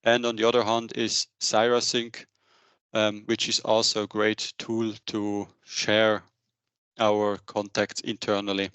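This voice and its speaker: A man in his thirties giving a semi-scripted business webinar presentation into a headset, with a halting delivery. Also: lowish voice, monotone, fairly bright tone, clean tone, no room sound. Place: indoor room, on a video call.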